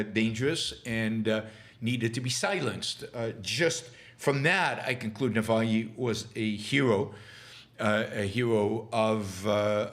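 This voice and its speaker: A middle-aged man hosting a political commentary show, speaking in a halting way with many fillers and restarts, and turning scornful and sarcastic. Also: on the low side. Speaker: podcast host.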